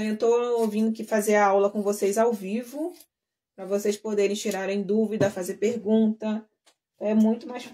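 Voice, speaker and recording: A woman's voice: mid-range voice, dark tone. A woman teaching a live sewing class, talking casually to her viewers while she works and trailing off between steps. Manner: chatty, addressing viewers as girls. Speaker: sewing instructor.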